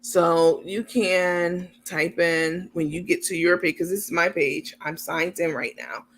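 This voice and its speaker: Casual stream host, male, high and gravelly, chatty step-by-step explainer, lots of ums. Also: steady tone, unhurried, fairly bright tone. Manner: chatty, explains step by step while sharing screen; many filler ums, gets irritated at small details. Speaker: channel host. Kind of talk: casual livestream talk to viewers.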